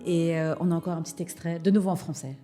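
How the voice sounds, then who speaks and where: A woman's voice: lowish voice, fairly even intonation, fast, loud music behind the voice. A woman hosting an interview, asking casual questions and giving frequent short backchannels. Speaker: interviewer, host.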